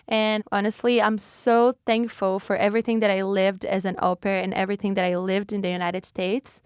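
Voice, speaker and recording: A woman's voice: mid-range voice, little pitch movement, a little fast, smooth, dry recording, clean studio sound. A young woman from Brazil with a Brazilian Portuguese accent, hosting a solo podcast in a chatty, reflective way, with fillers and restarts. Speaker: podcast host.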